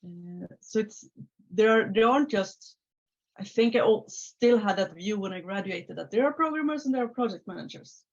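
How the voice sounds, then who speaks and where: A woman's voice: medium pitch, normal speed. A Swedish woman in her thirties with a Swedish accent, talking casually as an interview guest over a video call, with many filler sounds and restarts. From Sweden. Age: 30s. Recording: slightly muffled video call audio.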